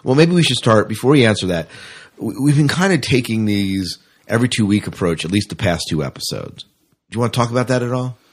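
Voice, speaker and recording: A man with a deep, somewhat raspy voice co-hosts a fan podcast. He talks in a loose, chatty way, drifting into asides as he addresses the listeners. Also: lively intonation, brisk. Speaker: podcast co-host. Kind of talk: casual sci-fi fan podcast chat.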